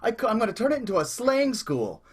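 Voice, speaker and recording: Here is a vaudeville-era entrepreneur in a comic radio play. He is eager and bumbling, with a husky voice that swings up and down. He rambles through his pitch like a salesman. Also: huge pitch swings, fast, heavily acted.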